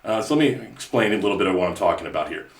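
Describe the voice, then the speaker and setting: Man, podcast host, earnest coaching tone, somewhat low pitch, roomy echo. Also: expressive, rapid-fire. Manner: earnest, repeats himself, many "you know"s. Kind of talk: casual coaching chat.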